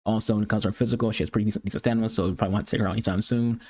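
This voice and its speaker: A man who talks fast and clutters his words, repeating and restarting often, giving casual commentary for a video game review. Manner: excitable high-pitched greeting. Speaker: gaming YouTuber.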